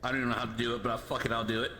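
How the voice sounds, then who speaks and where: A man in casual online roleplay banter, profane, excitable and joking, talking through a VR headset mic. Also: medium pitch, very fast. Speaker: player in an online roleplay group. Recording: roomy echo, faint background noise.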